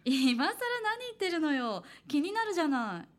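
A woman's voice: high-pitched, big pitch swings, moderate pace. An actress playing a cheerful young woman, an aspiring manga artist who reassures others though a little uneasy, in a Japanese horror radio drama. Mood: cheerful, reassuring. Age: young adult. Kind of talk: Japanese horror radio drama acting with first-person narration.

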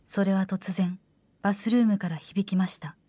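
Dark, mellow woman narrating horror. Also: mid-range voice, steady tone, normal speed, slight background noise. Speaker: first-person story narrator. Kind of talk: scripted horror drama narration.

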